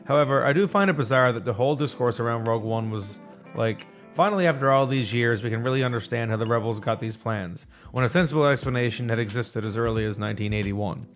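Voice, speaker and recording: A man's voice: medium pitch, fast. A man narrating a scripted video essay. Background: background music.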